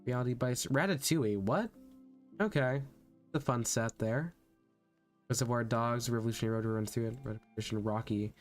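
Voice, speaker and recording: A man's voice: medium pitch, moderate pace. A man streaming a voice acting practice session, chatting informally and thinking aloud, often repeating his words. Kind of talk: casual livestream chat. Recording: soft background music behind voice. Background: background music.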